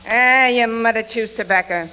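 Woman playing high-pitched mouthy tenement Juliet. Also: moderate pace, lightly acted. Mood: scornful. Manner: uneducated, mouthy, tacking "hey" onto sentences. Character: brash, slangy young female Juliet from the tenements, comic. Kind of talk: 1940s radio comedy acting. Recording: hissy, crackly old radio recording.

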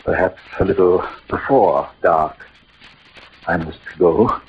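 An actor playing an ailing, gallant middle-aged swordsman-poet who hides his love, in a halting, theatrical old radio drama style. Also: sits low, breathy edge, heavily acted. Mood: weary, evasive. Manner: theatrical, halting, poetic delivery. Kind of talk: 1940s-50s radio drama acting. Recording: muffled, noisy old radio recording.

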